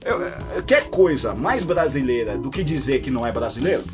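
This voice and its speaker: A Brazilian man hosting a podcast, delivering an opinionated monologue straight to his listeners and jumping into high mocking voices when he quotes people. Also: sing-song, moderate pace, over music. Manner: addresses listeners directly as "my dear". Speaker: podcast host.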